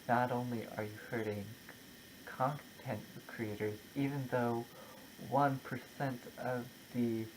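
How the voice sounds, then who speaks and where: A content creator talking casually and personally, in a halting, reflective way with short broken phrases. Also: medium pitch, background noise. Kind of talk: casual personal vlog, opinion talk to camera.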